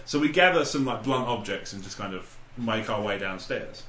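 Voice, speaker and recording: Guy hosting a jokey podcast, bright voice thats all over the place, sweary stories, roomy sound. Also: on the low side, animated, moderate pace. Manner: sweary, joking storyteller. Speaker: podcast host. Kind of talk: casual comedic chat among friends. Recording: echoey room, faint background noise.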